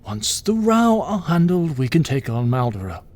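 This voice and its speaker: An actor playing a stubborn, self-assured ruler in an audio drama, imperious and dismissive. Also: male voice, on the high side, very animated, moderate pace, darkish tone. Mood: confident.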